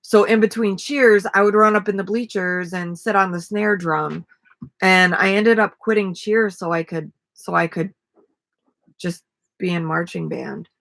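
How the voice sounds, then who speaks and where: Raspy slow-talking woman. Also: medium pitch, no echo. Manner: relaxed, rambling, self-deprecating. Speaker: vlogger and ukulele player. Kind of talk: casual live-stream chat. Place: small camper interior. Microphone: webcam at desk.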